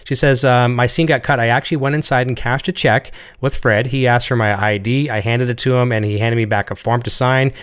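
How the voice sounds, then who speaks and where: Fast-talking Canadian host. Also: male voice, medium pitch, fairly even intonation, clear, resonant tone. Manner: chatty, enthusiastic, reads viewer comments. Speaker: show host. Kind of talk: casual interview hosting.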